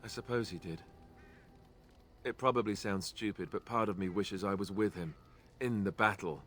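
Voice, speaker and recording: A man's voice: lowish voice, normal speed, a bit bright, a little hiss or hum, close to an everyday voice. An actor voicing a young male warrior in a fantasy video game, earnest and self-doubting as he grieves his mentor. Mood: subdued.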